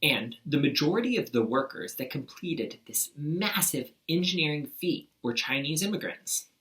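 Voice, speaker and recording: A woman presenting a school news segment, explaining clearly to pupils. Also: sits low, lively intonation, moderate pace, a bit bright, distant mic, low-quality recording. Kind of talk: informative school announcement. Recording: voice a little away from the mic.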